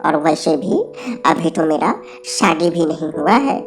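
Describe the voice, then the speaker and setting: A woman's voice: sits low, measured pace. A woman voicing a reluctant, grumbling little male cartoon dwarf, comically aggrieved, in colloquial Bihari-accented Hindi. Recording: faint background music.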